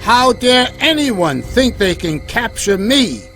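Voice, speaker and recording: A man playing a proud, hot-tempered lion who rules the forest in a storybook, with a boastful, booming manner. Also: sing-song, takes it slowly, fairly bright tone, soft background music, heavily acted. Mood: furious.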